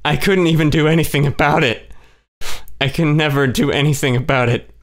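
A man voicing a distraught young female horned creature who is tearful, self-loathing and quick to anger. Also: lightly acted. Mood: anguished. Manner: tearful, strained, breaking into high cries.

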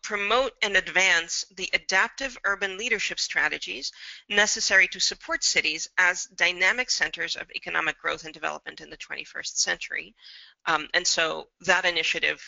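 A middle-aged woman, an academic librarian, gives a semi-scripted slide presentation in a measured, halting way, pausing to think, recorded indoors on a computer mic. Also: sits low, creaky, pushed and bright, no room sound, dull audio.

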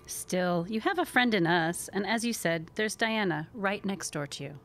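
A woman's voice: medium pitch, expressive, moderate pace, fairly bright tone, faint music behind, close to an everyday voice. A woman playing a genteel, sympathetic lady friend, polite and soothing, in a period audio drama.

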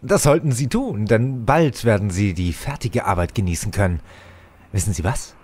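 An actor playing a nervous, evasive young radio playwright who stammers and hesitates, in a German audio drama. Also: male voice, on the low side, expressive, moderate pace, a little mellow, a little hiss or hum, close to an everyday voice. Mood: eager. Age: young adult.

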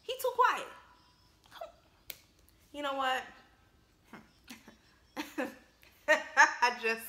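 A young woman chatting through a movie commentary in a high, lively voice that swings up and down a lot. Her tone is bright and bubbly, with plenty of laughter and slang. It is recorded on an earphone mic in a bare, echoey room. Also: drawn-out words. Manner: animated, laughing, slangy asides. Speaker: YouTuber reacting to a film. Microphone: wired earphone mic.

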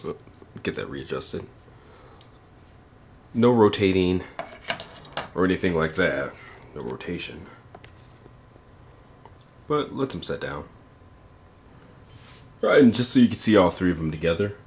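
Male reviewer, low voice, informal hands-on demonstration, some background noise. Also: lively intonation, a little slow, pauses often, darkish tone. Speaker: toy reviewer. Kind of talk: casual hands-on product demonstration. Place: indoors at a tabletop display. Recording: clear background noise, handling clicks.

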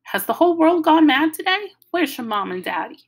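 A fussy old grandmother, voiced by a woman reading aloud exclaims and scolds. Her voice sits high and loud, with a gravelly edge. It comes from a storybook reading. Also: very animated, a little fast. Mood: exasperated.

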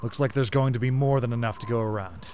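A man playing a practical survivor group leader in a comic dub, speaking in a measured, instructive way. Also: medium pitch, darkish tone, slight background noise, lightly acted. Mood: commanding. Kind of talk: amateur comic-dub voice acting.

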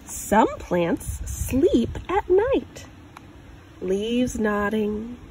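Slow, expressive woman reading to children. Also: fairly high pitch, poor audio. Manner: expressive, deliberate reading with emphasized words. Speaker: storytime host reading to children. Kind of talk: read-aloud picture book narration for children. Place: outdoors on grass. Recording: clear outdoor ambient noise.

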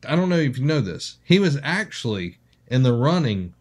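Podcast co-host, man, thirties, mid-pitched, reads articles steadily, cracks jokes. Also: very animated, moderate pace. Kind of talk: casual podcast chat, reading news aloud. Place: small studio with city-skyline backdrop.

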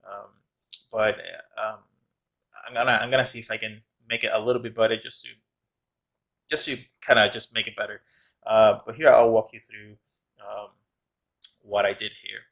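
A man's voice: fairly low pitch, little pitch movement, moderate pace, vocal fry, pauses often, fairly bright tone, lo-fi sound. A man casually narrating his art process as host of a tutorial channel, rambling with many fillers and restarts. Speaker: background artist and channel host.

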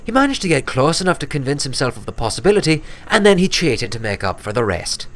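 A man's voice: medium pitch, very animated, quick pace, close to an everyday voice. A man voicing a grave, earnest young filly pony who explains things precisely and wordily in a storybook reading. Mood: exasperated, explaining. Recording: clear background noise.

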